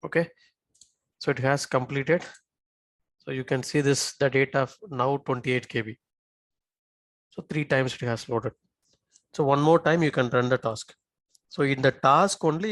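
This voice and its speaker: A man giving a live software tutorial as an instructor, often checking in with short tag questions. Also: mid-range voice, normal speed, long pauses, dry recording.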